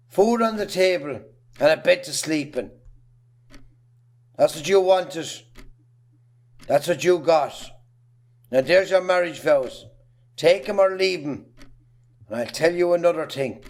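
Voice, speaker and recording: Casting: male amateur actor as middle-aged Irish farmer, jealous husband, scornful and hard, fairly high male voice. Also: natural voice. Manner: scornful, hard, rapid lists of grievances. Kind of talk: amateur stage-play acting.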